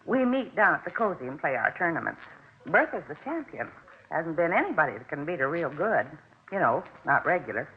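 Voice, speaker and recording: An actor playing a folksy, stubborn, worried neighbor in a 1950s radio crime drama, chatty and insistent. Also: male voice, on the high side, very animated, brisk. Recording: tinny, faintly noisy old radio recording.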